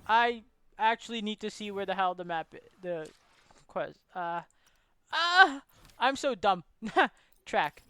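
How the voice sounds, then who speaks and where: A woman's voice: mid-range voice, lively intonation, slow, pauses often, sharp tone, clear, resonant tone, dry recording. A woman streaming a video game with exuberant, casual, sweary live commentary. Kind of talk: excited live gameplay commentary.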